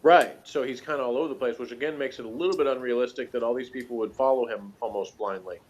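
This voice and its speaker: A man's voice: medium pitch, quick pace. Casual, rambling man on a dull recording. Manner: rambling, hedging, conversational, with frequent "you know" and "like". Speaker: guest reviewer of a TV series. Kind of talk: casual review chat.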